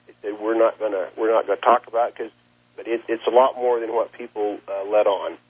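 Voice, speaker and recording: A man, a plain-spoken cattle rancher giving a halting account in an interview over the telephone. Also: lowish voice, fairly even intonation, husky. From Nebraska, United States. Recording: muffled, lo-fi phone interview line.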